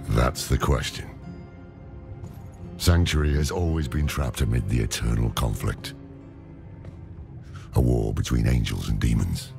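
A man playing a gruff, world-weary old hermit scholar with a dry wit, in a dramatic video game cutscene. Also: exaggerated voice. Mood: grave. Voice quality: very deep, gravelly. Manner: slow, weighty, sardonic. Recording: loud music behind the voice.

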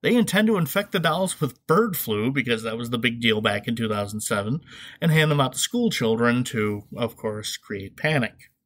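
Male podcast host, medium pitch. Comedic, opinionated commentary, sarcastic, with punchy asides. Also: animated, normal speed, a bit bright. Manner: sarcastic, swearing, emphatic asides.

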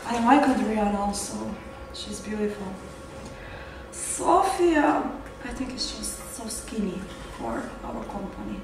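A woman's voice: mid-range voice, sing-song, slow, noisy background. Woman speaking in a roomy echoey office. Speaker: casting assistant in a film. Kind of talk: amateur film dialogue. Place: office, indoors. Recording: echoey room from far off, music behind.

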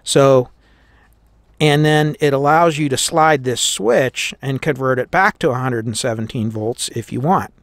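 A man's voice: mid-range voice, measured pace, gravelly, no room sound. An American man explaining a repair step by step in a plain-spoken, informal way as he works at a bench. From United States. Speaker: host of a radio repair tutorial. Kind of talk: hands-on tutorial, explaining as he works. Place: indoors at a workbench.

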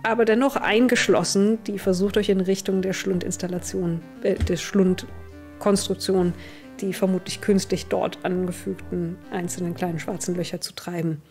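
A woman's voice: on the low side, little pitch movement, normal speed, a little mellow, soft background music. A woman in her thirties narrating a tabletop game as game master.

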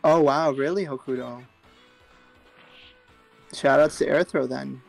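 Flat-voiced man breaking down tech. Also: mid-range voice, slow pace, gravelly, faint music behind. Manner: even-toned, technical analysis. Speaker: stream co-host and tournament organizer. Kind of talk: casual live fighting-game commentary.

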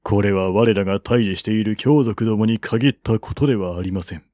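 A man reading the part of a cautious army general who gives his lord grave, formal, deliberate counsel in an audiobook. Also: on the low side, dark tone, no room sound, voice pushed down, close to an everyday voice. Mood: grave, reasoning.

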